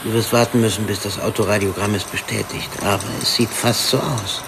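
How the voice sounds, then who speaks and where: An actor playing a teasing, unhurried police forensic expert in a radio drama, talking in a wry, easygoing way. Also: male voice, lowish voice, steady tone, over music. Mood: wry, factual. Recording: clearly noisy background ambience.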